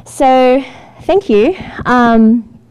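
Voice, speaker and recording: A woman's voice: high voice, very slow, darkish tone, clear, resonant tone. A woman giving a casual, upbeat conference talk, with lots of fillers. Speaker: software engineer giving a talk. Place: event hall with an audience.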